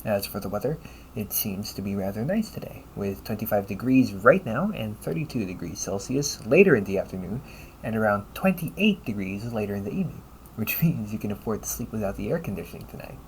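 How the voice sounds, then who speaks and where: A man voicing an upbeat, teasing AI companion in an audio roleplay, polite and fussily helpful, with a gently nagging manner. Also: on the low side, lively intonation, a little fast, a little hiss or hum, rough recording. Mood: helpful, chatty. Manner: polite, chirpy, gently nagging, butler-like phrasing. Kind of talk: scripted audio roleplay addressed to the listener.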